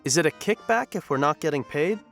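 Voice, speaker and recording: A cocky, goofy young joker played by a man in an audio drama. His delivery is playful and dramatic, swinging widely in pitch over faint music. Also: expressive, brisk, gravelly, fairly bright tone.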